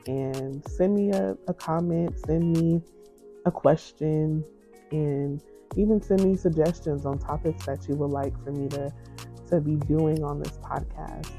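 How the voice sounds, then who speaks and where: A woman podcast host giving a gentle, encouraging faith-based talk in a soft, low, soothing voice. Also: steady tone, measured pace, soft background music, poor audio.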